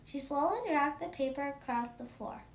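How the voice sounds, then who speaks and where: A woman reading a children's story aloud in an expressive, animated manner. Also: normal speed. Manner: animated, acts out dialogue. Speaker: storybook reader for a children's book club. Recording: roomy echo, faint rumbling noise.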